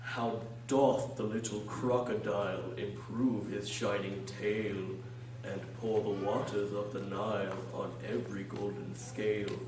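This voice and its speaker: A man's voice: fairly low pitch, flat delivery, unhurried, darkish tone, close to an everyday voice. A man playing a curt, contrary caterpillar in a radio drama, speaking in a terse, pompous manner. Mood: reciting pompously. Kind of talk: amateur radio drama acting. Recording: echoey room, distant mic, noisy.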